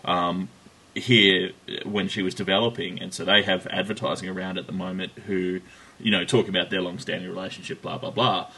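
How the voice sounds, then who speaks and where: An Australian man co-hosting a sports podcast, chatting casually with wry jokes and halting, self-interrupting phrasing. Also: low-pitched, little pitch movement, gravelly, sharp tone, breathy edge, poor audio. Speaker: cycling podcast co-host. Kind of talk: casual unscripted chat about sport.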